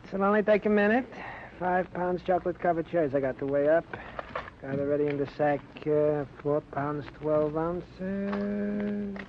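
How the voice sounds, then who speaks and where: Fussy chatty little New shopkeeper. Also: male voice, on the high side, fairly even intonation, unhurried. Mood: busy, chatty. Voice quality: hesitant, wordy, mock-formal phrasing. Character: chatty, fussy, good-natured little male candy store owner. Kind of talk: 1940s-50s radio drama acting. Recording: muffled, noisy old radio recording.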